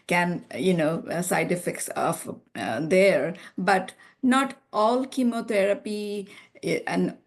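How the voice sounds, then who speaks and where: A middle-aged woman with a Bangladeshi accent, a physician speaking as a guest on a video call, rambling and warm with frequent "you know" and restarts. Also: medium pitch. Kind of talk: conversational talk on a video call.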